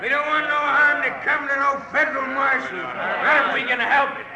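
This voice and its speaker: An American actor playing a menacing lynch mob leader who calls out hard in an old-time radio western. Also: male voice, very high-pitched, flat delivery, moderate pace. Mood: threatening. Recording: echoing, very noisy old radio, crowd.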